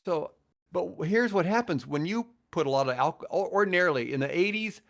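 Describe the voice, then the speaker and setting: A man's voice: high voice, lively intonation, fairly quick, creaky, a bit bright. Older American man telling rambling stories. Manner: rambling, conversational storyteller. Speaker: podcast guest, retired radio host. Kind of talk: casual anecdotal podcast chat.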